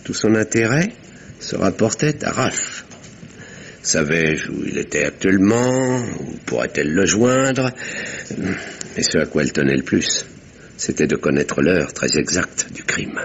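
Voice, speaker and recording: An actor playing a composed, wry village doctor who narrates a 1950s-style French radio mystery in measured, literary, husky tones. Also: male voice, low voice, big pitch swings, slight background noise, exaggerated voice. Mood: confiding. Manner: measured, dryly ironic. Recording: dull vintage radio sound.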